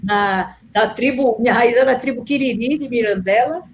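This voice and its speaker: A middle-aged woman, a guest on an interview who talks warmly about her past with many small pauses. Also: on the high side, a little hiss or hum. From Bahia, Brazil. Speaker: guest, nurse and city councillor. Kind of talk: casual interview chat.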